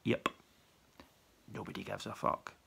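A man's voice: measured pace, sharp tone, slight background noise. A man, giving a dry, wry post-match reaction as podcast host, recorded on a phone at arm's length in a small room. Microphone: phone camera at arm's length.

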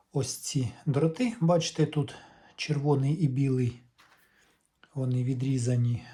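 A man talks through a repair in a relaxed, mellow voice of medium pitch. He explains step by step in a casual way, often thinking aloud. Light background noise sits under his speech. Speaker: hobbyist electronics repairer presenting a video. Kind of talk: casual hands-on repair walkthrough.

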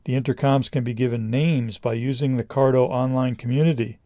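Mellow man narrating a product review. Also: mid-range voice, steady tone, brisk, no echo, clean studio sound.

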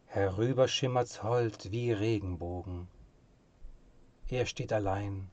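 Slow, low-voiced man reciting poetry. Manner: measured, expressive, dropping to hushed phrase endings. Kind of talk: solemn literary poetry recitation.

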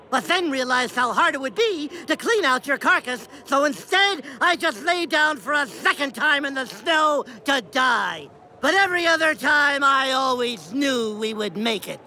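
An actress voicing a flighty cartoon duck sidekick with a fussy, exasperated, complaining manner. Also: female voice, high voice, pushed and bright, poor audio.

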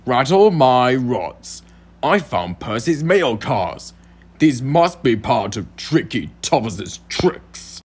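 A man voicing a boastful, scornful male steam engine in a cartoon audition, with a pompous, theatrical, sneering delivery and a raspy, gravelly voice. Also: mid-range voice, exaggerated voice. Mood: determined.

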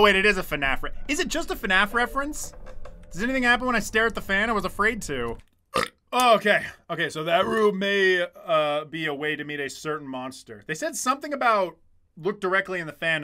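A man's voice: sits high, expressive, a little fast, pushed and bright. A man in his twenties commentating casually on a livestream, joking and swearing as he reads chat, close to a desk microphone in a small room. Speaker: game streamer. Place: small dim room indoors. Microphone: desk microphone close in front.

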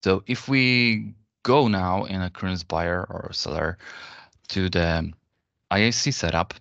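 A deep-voiced man gives an unscripted software demonstration. He explains each step in a halting manner. The sound is dry and a little lo-fi, like online webinar audio. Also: gravelly, dry recording. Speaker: software presenter.

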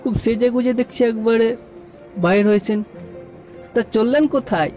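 Cartoon dialogue from a showy young nephew, played by a man. The voice sits high for a male and has a soft, mellow tone. He speaks in an exclamatory way over faint music. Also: normal speed. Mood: boastful, teasing. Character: showy young nephew, boastful, later remorseful.

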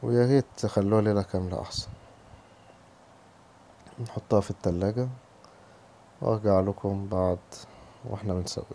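A man with an Egyptian Arabic accent, hoarse from a cold, casually talking viewers through a recipe step by step in his home kitchen. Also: fairly low pitch, dark tone, slight background noise. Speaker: home cooking channel host. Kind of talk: cooking tutorial voice-over.

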